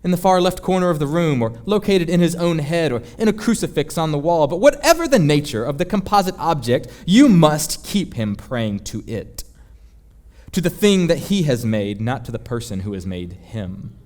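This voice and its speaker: A man voicing a senior demon who instructs his apprentice in formal, deliberate, scheming words, as a dramatic book reading. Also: a little high, sing-song, darkish tone.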